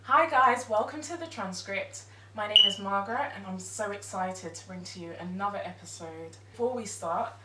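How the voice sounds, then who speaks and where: A woman's voice: mid-range voice, pushed and bright. A woman in her twenties hosts a casual panel chat with friends. She speaks upbeat and often murmurs agreement while the others talk. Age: 20s. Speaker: host. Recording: echoey room, distant mic, faint noise.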